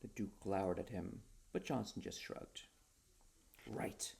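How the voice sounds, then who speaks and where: A man who is an author reading his own short story aloud in a dramatic storybook style, with a mellow voice. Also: low voice, normal speed, creaky.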